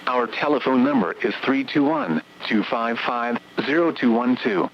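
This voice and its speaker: A man's voice: mid-range voice, a little hiss or hum. Synthetic male weather radio announcer, dull sound. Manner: measured synthetic delivery, evenly read. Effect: radio broadcast. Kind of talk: automated scripted weather broadcast.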